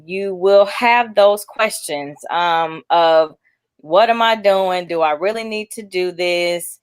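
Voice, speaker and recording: A middle-aged woman author speaking in a casual panel talk, encouraging and laughing often, repeating phrases for emphasis. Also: mid-range voice. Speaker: author guest.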